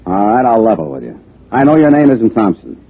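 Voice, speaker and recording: Casting: hardboiled American schemer, wisecracking and animated, for vintage radio crime drama; narrow, tinny sound. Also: male voice, on the low side, brisk, slight background noise. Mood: pressing, cocky. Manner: slangy tough-guy wisecracking delivery. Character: cocky, scheming small-time American wise guy, tough and slangy. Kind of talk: 1940s-50s American radio drama acting, hardboiled first-person narration. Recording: tinny band-limited vintage radio recording with music.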